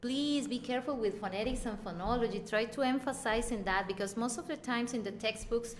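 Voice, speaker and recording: A Mexican woman from Chiapas, an English teacher speaking at a panel, animated, often laughing and repeating words, with a Spanish accent. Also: on the high side, fast talker, slight background noise. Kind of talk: panel discussion.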